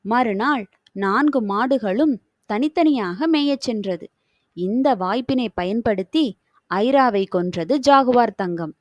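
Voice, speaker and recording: A woman's voice: on the high side, lively intonation, slow, muffled recording. A woman reading scripted moral stories for children as a storybook narrator.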